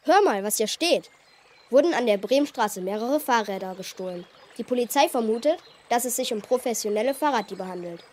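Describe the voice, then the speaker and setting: Animated, confident kid detective. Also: female voice, on the high side, fairly quick. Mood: intent. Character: confident know-it-all schoolchild detective. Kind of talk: children's radio play acting.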